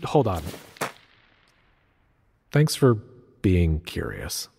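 A man's voice: deep voice, huge pitch swings, extremely slow, vocal fry, warm tone, exaggerated voice. A man playing a playful, joking companion exploring a site. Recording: reverberant space with faint noise.

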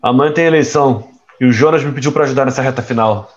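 A man playing a reckless young man in an audio drama, speaking casually in a medium-pitched voice. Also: fast, lo-fi sound, lightly acted. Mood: confident. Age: young adult. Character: reckless young man caught in crooked dealings.

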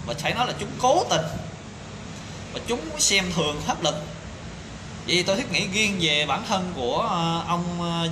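A man in his thirties speaking an indignant opinion monologue to camera, full of rhetorical questions and fillers, filmed on a phone in a small tiled room. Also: on the high side. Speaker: commentator. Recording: echoey tiled room with background noise.

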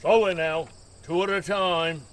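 A gruff, slow-talking old keeper. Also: male voice, high voice, steady tone. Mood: wary, commanding. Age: older. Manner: folksy, gruff, drawling, chuckling. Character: wary old lighthouse keeper, gruff but folksy host. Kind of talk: audio drama acting.

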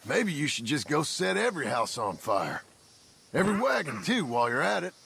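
An actor playing a gruff, sardonic American cowboy outlaw with a gravelly voice in a western video game. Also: male voice, on the high side, sing-song, normal speed, fairly bright tone, full character voice. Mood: sarcastic.

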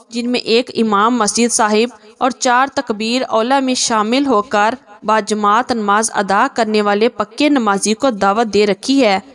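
A woman with a fairly high-pitched voice works as a storyteller, reading from a script. She narrates a moral tale in the manner of a prepared story reading.

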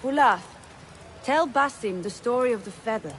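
A woman's voice: on the high side, expressive, normal speed, a little hiss or hum, natural voice. An actress playing a proud young woman who forges weapons for assassins in a video game, dry and direct. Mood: calm. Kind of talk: dramatic video game acting.

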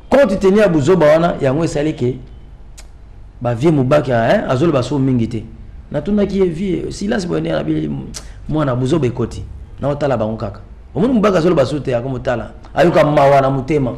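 Middle-aged male political pundit, Congolese accent, lively swooping delivery, fairly high voice, emphatic repetition. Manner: emphatic, repeats phrases for stress. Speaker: political commentator guest. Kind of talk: televised political discussion in mixed Lingala and French.

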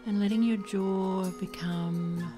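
A woman leading a guided body scan meditation in a soothing, gentle way, leaving pauses between phrases. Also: medium pitch, steady tone. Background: music.

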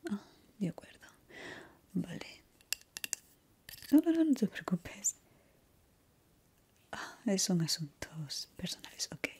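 A young woman in a gentle, chatty, reassuring ASMR roleplay as a barber, whispering breathily close to the microphone. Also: fairly low pitch, big pitch swings, slow, pauses often. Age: young adult.

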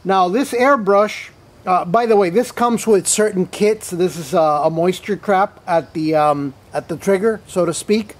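A man's voice: high-pitched, measured pace. A man hosting an airbrush tutorial, talking casually while he shows the tool at a table, rambling with fillers, restarts and playful asides. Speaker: host of an airbrush tutorial show. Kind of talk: casual product demonstration. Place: indoor table-top studio, hands-on demo.

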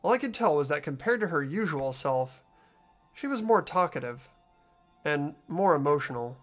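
A man's voice: fairly high pitch, lively intonation, normal speed, lightly acted. A man voicing an earnest, sympathetic and unsure teenage schoolboy in a video game, with a hesitant delivery. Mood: subdued, reflective. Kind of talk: amateur video game voice acting. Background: background music.

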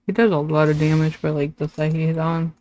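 A young woman chatting casually as she livestreams a game, in a halting, rambling way. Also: sits low, level tone, mellow, poor audio. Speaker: livestreaming gamer. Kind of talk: casual chat while playing a game. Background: video game music and combat sound effects.

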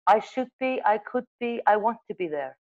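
Animated Icelandic woman on a video call. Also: mid-range voice, normal speed, lo-fi sound. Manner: animated storyteller, gestures, restarts and self-corrections. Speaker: magazine editor, guest. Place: room with wall of photos. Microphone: earbud headset mic on video call.

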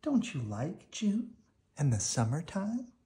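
A man's voice: wildly swooping pitch, slow, dark tone, exaggerated voice. A man voicing small, eager, caring young mice in a storybook, exclaiming with wonder. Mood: concerned. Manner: high-pitched, wondering, exclaiming.

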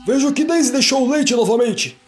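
A man's voice: very high-pitched, fairly quick, soft background music, voice pushed up. A man voicing a kindly, obliging older tram engine in a cartoon, speaking in exclamations. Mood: helpful.

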